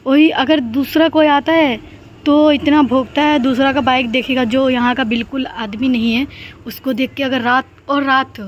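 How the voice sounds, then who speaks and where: A woman's voice: sits high, fairly even intonation, normal speed. A young woman talks in a chatty, rambling vlog style. She records on a phone held at arm's length at home, with noticeable ambient noise behind her. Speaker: housewife vlogger. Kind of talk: casual chat to camera. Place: small home rooms, kitchen and balcony. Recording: clear background noise, household ambience.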